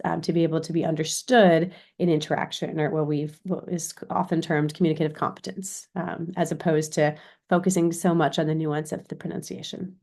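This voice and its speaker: A woman's voice: low voice, darkish tone. A woman from Chicago with an American accent giving an informal recorded lecture as a university instructor, explaining things and often checking in with "right?". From Chicago, United States.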